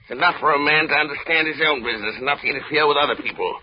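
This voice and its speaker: A man acts out a cold-hearted old miser of a businessman in vintage radio drama. He grumbles and snaps with open scorn in a fairly high male voice. The recording is dulled and lightly noisy with age. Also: rapid-fire, lo-fi sound, close to an everyday voice. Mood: curt, dismissive. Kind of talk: 1930s radio drama acting.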